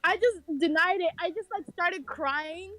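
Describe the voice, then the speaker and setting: Very high-voiced woman telling a casual story. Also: measured pace, a bit bright. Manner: self-deprecating, sweary, giggly. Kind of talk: casual humorous personal anecdote. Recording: music behind the voice. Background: background music.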